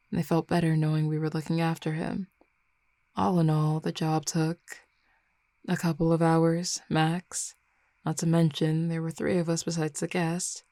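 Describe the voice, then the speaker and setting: A woman playing a flirty, easygoing female werewolf in a roleplay audio drama, relaxed, confident and teasing in an intimate, unhurried conversation. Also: deep voice, dry recording.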